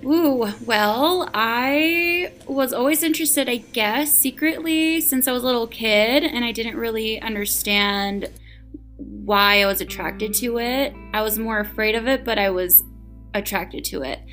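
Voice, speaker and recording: A woman's voice: fairly high pitch, unhurried, a bit bright, faint music behind. A woman from the US and Argentina, chatting as a podcast guest with frequent laughs and fillers. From United States and Argentina. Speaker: guest, paranormal investigator. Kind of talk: casual interview chat.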